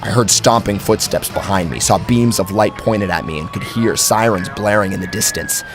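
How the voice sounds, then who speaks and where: A man's voice: low-pitched, normal speed, over music. A man narrating a first-person horror story in a theatrical, suspenseful way.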